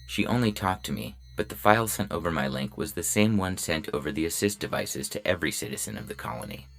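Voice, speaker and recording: A man voicing a polite, officious robot lawman with a deadpan, clipped delivery in a science fiction audio story. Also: sits low, quick pace, vocal fry, a little hiss or hum. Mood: coolly officious. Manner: deadpan, clipped, matter-of-fact. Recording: music bed under the voice.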